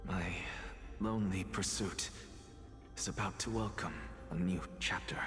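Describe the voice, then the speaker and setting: A man's voice: deep voice, steady tone, measured pace, fairly bright tone, faint music behind. An actor playing an arrogant, intense male scholar who talks in a haughty, commanding way and sighs often. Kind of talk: video game voice acting.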